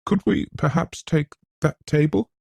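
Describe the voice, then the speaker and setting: A man's voice: a little high, measured pace, vocal fry, a little mellow, breathy edge, no room sound, voice pushed up, full character voice. A man voicing a troubled, reticent castle factor of about seventy in a gothic audiobook, British-accented, hesitant and deadly serious. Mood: hesitant, apologetic. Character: grim, troubled seventy-year-old castle factor, reticent and weather-worn.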